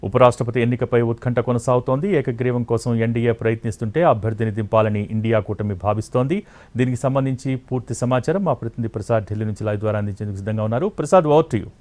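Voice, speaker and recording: A man reading scripted news as an anchor in a formal, measured newsreader delivery. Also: medium pitch, a little mellow. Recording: music bed under the voice. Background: news music.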